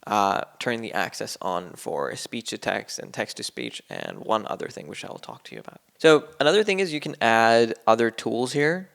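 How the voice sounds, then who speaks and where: A man's voice: on the low side, big pitch swings, normal speed, gravelly, few pauses, fairly bright tone. A man walking through a coding tutorial on his screen in a loose, rambling way with lots of fillers. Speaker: coding instructor. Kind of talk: casual screen-share tutorial. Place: at a computer, screen recording.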